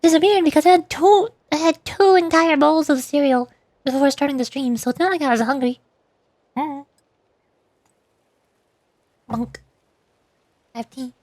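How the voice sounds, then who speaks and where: A woman's voice: sits high, steady tone, moderate pace, pauses often, darkish tone, no room sound. A woman doing casual livestream game commentary, playfully muttering to herself, close on the mic. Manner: playful, mumbles aloud to herself. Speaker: VTuber game streamer. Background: game music.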